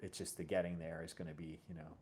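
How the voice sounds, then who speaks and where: A man hosting a casual conversation as a series creator, thinking aloud in a halting way and often correcting himself. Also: deep voice, flat delivery, a crowd in the background. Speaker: host and audio drama series creator. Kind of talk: casual interview chat.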